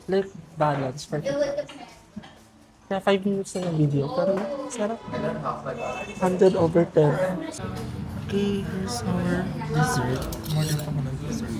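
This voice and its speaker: A woman's voice: on the low side, unhurried, pauses often. A woman with a Filipino accent chatting casually and playfully in short remarks, as a travel vlogger. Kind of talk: casual vlog chat mixing Tagalog and English. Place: out and about in eateries and city streets. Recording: background music, crowd and street noise.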